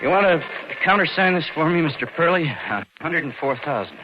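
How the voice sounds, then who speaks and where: A man's voice: a little high, expressive, fairly quick, noisy background, natural voice. An actor playing a scheming, nervous bank employee who narrates his own theft in an urgent, confiding radio thriller style. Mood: casually nervous. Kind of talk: 1940s-50s radio thriller acting and narration. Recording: dull old radio broadcast with music.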